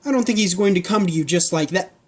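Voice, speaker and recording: A woman reading a storybook aloud, voicing a grumpy young boy who talks in flat, sarcastic sighs. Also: fairly low pitch, fast, slightly rough. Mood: weary.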